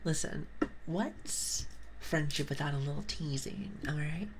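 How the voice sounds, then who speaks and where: Brief: woman ASMR streamer with an accent, low gravelly whisper, teasing, faint background hiss. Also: unhurried. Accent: non-native English. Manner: soft whispering, playful teasing. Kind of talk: ASMR live stream chat. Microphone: sensitive ASMR microphone with headphones.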